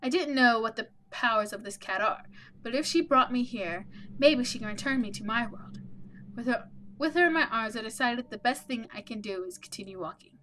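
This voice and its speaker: A woman reading out the part of an anxious, lost young protagonist in a horror visual novel, measured and subdued. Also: on the high side, expressive, fairly bright tone, slight background noise, low-quality recording. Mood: pensive. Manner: measured, subdued, stumbles over some words.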